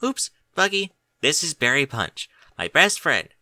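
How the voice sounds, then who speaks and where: A man's voice: a little high, very animated, a little slow, pushed and bright, no echo, studio quality, pitched above the performer's own voice, exaggerated voice. A man voicing a cheerful, friendly, easily flustered female pegasus pony in an audiobook. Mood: surprised, flustered. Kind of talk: amateur audiobook character voice.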